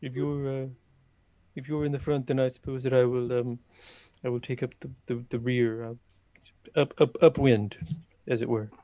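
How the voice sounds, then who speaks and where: A man's voice: medium pitch, little pitch movement, moderate pace, mellow, no room sound, close to an everyday voice. A man playing a nervous, squeamish and pedantic adventurer in a tabletop game, stammering and hesitating as he talks. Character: nervous, squeamish, pedantic male adventurer who dreads tunnels.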